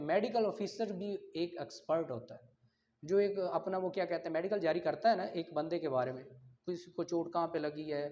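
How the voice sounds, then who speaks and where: A man's voice: on the high side, a little mellow, slight background noise. A man with a Pakistani accent giving a didactic exam-prep law lecture, explaining with everyday examples and rhetorical questions. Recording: dull, lo-fi lecture audio.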